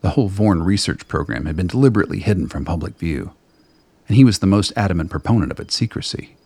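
A man reading scripted dramatic narration for a radio play in a measured, literary storytelling manner, with a gravelly, husky voice. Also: deep voice, lively intonation, darkish tone, no echo.

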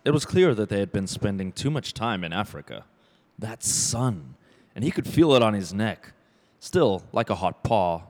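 A man's voice: fairly low pitch, lively intonation, normal speed, a little mellow, lightly acted. A man acting as the brooding narrator of an ominous radio play, in dramatic fragmentary phrases.

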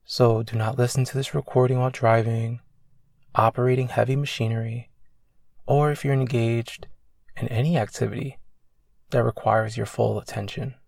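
Man guiding meditation, even and slightly gravelly. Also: medium pitch, dry recording, studio quality. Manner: slow, soothing, with long pauses. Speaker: meditation coach guiding a session. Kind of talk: guided meditation narration. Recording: loud ambient meditation music behind. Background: ambient new-age music with singing bowls.

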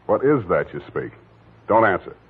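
A man's voice: fairly low pitch, very animated, normal speed, slightly rough, narrow, tinny audio, exaggerated voice. An actor playing a hard-boiled, wisecracking male private detective in a 1940s radio drama, delivering dry, clipped lines. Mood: wry. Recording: scratchy 1940s radio broadcast with music.

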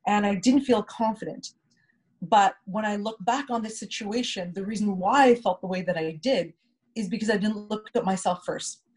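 A woman's voice: mid-range voice, normal speed, darkish tone, slightly rough. A woman co-hosting a podcast, candidly and reflectively telling a personal story, often repeating words for emphasis. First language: English. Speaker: podcast co-host.

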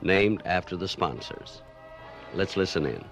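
Deep voiced guy hosting, scripted documentary style narration. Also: animated, measured pace, a bit bright, faint music behind. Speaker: compilation host.

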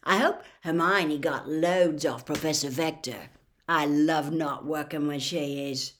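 A woman's voice: sits low, steady tone, unhurried, a little hiss or hum, pitched below the performer's own voice. A woman voicing a casual teenage schoolboy, joking and mocking in an offhand, punchy way in a storybook reading.

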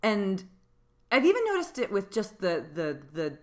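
A young woman with a deep voice in casual chat, rambling with many fillers and frequent laughs. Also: huge pitch swings, moderate pace, darkish tone.

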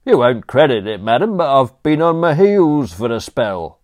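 A man's voice: medium pitch, sing-song, normal speed, darkish tone, voice pushed up, natural voice. A man voicing a brash, cheerful male butler with a cheeky, slangy way of talking. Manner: breezy, cheeky, working-class slang.

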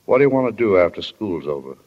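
Low-voiced small-town father. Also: big pitch swings, quick pace. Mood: gently reproving. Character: stern but kindly small-town father. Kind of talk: 1940s radio drama acting. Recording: dull 1940 radio recording.

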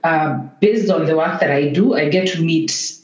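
A woman's voice: low-pitched, normal speed, darkish tone. A woman with a Kenyan accent answering conversationally as a guest in a podcast interview. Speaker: podcast guest, tech activist and executive director. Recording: roomy, dull lo-fi call-like sound.

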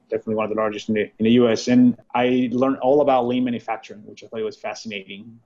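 A man from Chile speaks as an interview guest in a low, steady voice that stays close to one note. He explains things step by step and fills the pauses with small hesitations. The recording sounds somewhat dull and muffled. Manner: measured, explanatory, with frequent fillers. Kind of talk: casual interview conversation.

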